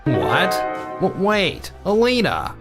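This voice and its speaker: A man's voice: fairly high pitch, sing-song, a little slow, music in the background. A man playing an arrogant, easily panicked young company president in a manga dub, with dramatic, defensive delivery. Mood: flustered, pleading.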